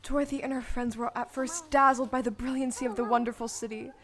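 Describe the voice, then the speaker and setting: A woman's voice: fairly high pitch, fairly even intonation, fast talker. A woman narrator reading scripted storybook narration for an audio play.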